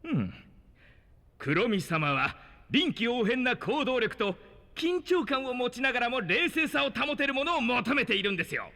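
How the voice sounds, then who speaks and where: A very high, piercingly bright exam official in an animated radio play. The delivery is theatrical and excitable, swooping up and down as if proclaiming rules, in a long hall reverb. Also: male voice, normal speed, creaky, heavily acted. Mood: grandly announcing. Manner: theatrical, exaggerated, announcing. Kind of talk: animated voice drama acting.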